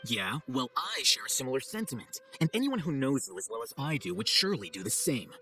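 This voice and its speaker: Cool, sardonic young scholar. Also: male voice, mid-range voice, brisk, faint music behind. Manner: composed, deadpan, condescending. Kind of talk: video game voice acting.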